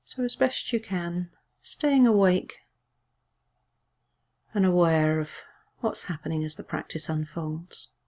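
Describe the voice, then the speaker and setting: A woman with a fairly low voice guides a body scan meditation. She speaks as a mindfulness teacher, giving gentle instructions in measured phrases. Pauses between them keep the delivery soothing and unhurried. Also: lively intonation.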